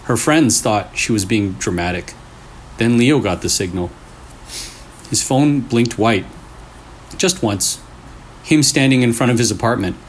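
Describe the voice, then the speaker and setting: A man's voice: medium pitch, a little slow, long pauses, slight background noise, low-quality recording. A man hosting and reading scary stories aloud in short, suspenseful phrases, with casual asides. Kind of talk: storytelling with casual reactions between stories.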